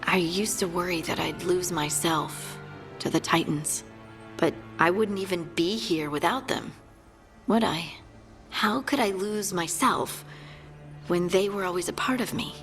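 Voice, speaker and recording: Slow, gentle, earnest adventurer. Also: female voice, fairly low pitch, soft background music. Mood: reflective, hopeful. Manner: gentle, playful, sincere. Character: earnest, warm, grateful female adventurer finding her strength. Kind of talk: video game voice acting.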